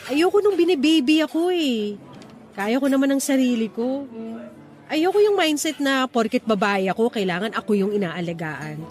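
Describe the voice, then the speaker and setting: An actress plays a frank, independent young woman in a radio love drama. Her voice sits fairly high, with conversational Taglish that sounds reflective and assertive. Soft music runs beneath her lines. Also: expressive, normal speed. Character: independent, frank young single woman weighing old romance. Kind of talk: radio romance drama dialogue.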